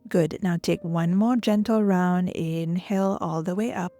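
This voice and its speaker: A woman leading guided relaxation and breathwork as a yoga instructor, gentle and soothing, often trailing off into a breathy near-whisper. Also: on the low side, fairly even intonation, unhurried. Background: soft music.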